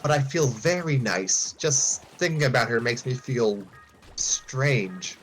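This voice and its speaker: A man's voice: medium pitch, animated, slow, soft background music. Man playing a flustered awkward boy trainer. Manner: flustered, stumbling restarts. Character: young male trainer, earnest and awkward.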